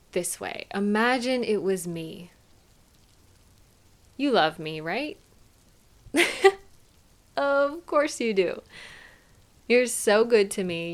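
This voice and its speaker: A woman playing a tender, doting girlfriend who soothes and gently coaxes her partner in an intimate one-sided roleplay. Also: mid-range voice. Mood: gentle reassurance, then playful, affectionate. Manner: soothing, affectionate, gently coaxing, softly playful.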